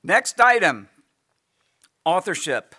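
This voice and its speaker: A man teaching a Bible lesson in an easygoing lecture style, losing his voice and often clearing his throat. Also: high-pitched, sing-song, takes it slowly, pushed and bright. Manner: conversational, thinking aloud with asides. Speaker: pastor teaching a Bible class.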